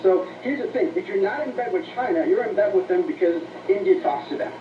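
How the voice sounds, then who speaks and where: A man answering as an interview guest, earnest and somewhat halting, heard through radio playback. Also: sits high, animated, recorded from a distance, background noise. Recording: dull, roomy radio playback from off mic.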